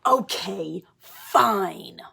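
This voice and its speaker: A woman voicing a stubborn, huffy, childlike male pigeon in a picture-book read-aloud, with drawn-out, melodramatic delivery. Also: mid-range voice, lively intonation, gravelly, pushed and bright, husky, exaggerated voice. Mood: grudging, huffy.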